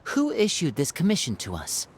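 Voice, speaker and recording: An actress playing a grave, courteous, dutiful adventurer who speaks formally and deliberately. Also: female voice, sits low, wildly swooping pitch, darkish tone, husky, heavily acted. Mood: serious, focused.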